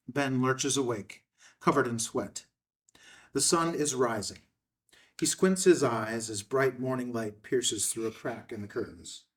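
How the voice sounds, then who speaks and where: A man reading screenplay stage directions as narrator in terse, measured, declarative phrases at a table read. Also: mid-range voice, distant mic.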